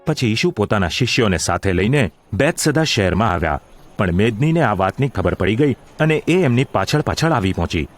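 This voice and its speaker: A man's voice: lowish voice, very animated, brisk, soft background music. A man narrating a gospel audio drama in a formal, solemn storytelling style.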